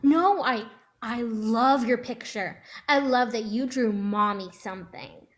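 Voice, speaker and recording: A woman's voice: a little high, takes it slowly. A woman playing a protective mother in a dramatic script reading. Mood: strained sweetness. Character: protective, stern mother, sweet to her child.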